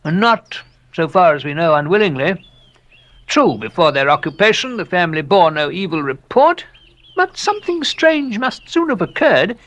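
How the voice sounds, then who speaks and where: An erudite captain in an audio horror drama, with a fairly high voice that swings widely in pitch. He is excitable and theatrical, pausing and savoring each grisly detail of old legends. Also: muffled recording. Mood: erudite, intrigued. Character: excitable, erudite English captain relating dark local legends. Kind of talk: audio drama dialogue, storytelling.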